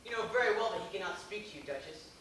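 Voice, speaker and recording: A man's voice: sits high, expressive, racing, fairly bright tone. A man playing a fussy, deferential tutor in an amateur stage play, speaking in a formal, declamatory way. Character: fussy, deferential male tutor to a young master. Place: theater stage, recorded from afar. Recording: echoey noisy theater, distant microphone.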